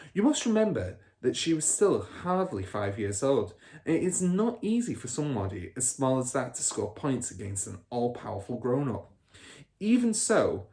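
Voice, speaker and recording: Guy reading a kids book out loud, teacher style, really lively intonation, mid pitch. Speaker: primary school teacher reading aloud. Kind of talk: expressive children's storybook narration.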